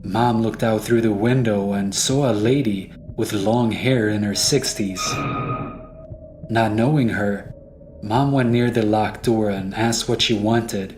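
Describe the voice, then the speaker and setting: A man's voice: fairly low pitch, steady tone, slow, poor audio. A man narrating a scripted first-person horror story. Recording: music behind the voice.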